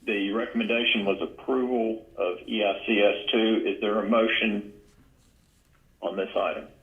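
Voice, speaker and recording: A man's voice: lowish voice, fairly even intonation, moderate pace, phone-quality sound. A man presiding formally as chair over a board meeting held on a conference call. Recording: conference call audio stream.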